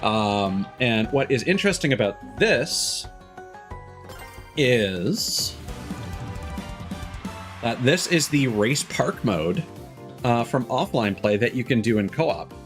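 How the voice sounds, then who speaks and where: A man's voice: medium pitch, lively intonation, slow pace, long pauses, faint music behind. A man chatting casually as he commentates a video game stream, rambling and often stopping to restart his sentences. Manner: rambling, self-interrupting, frequent stops and restarts. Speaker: live streamer.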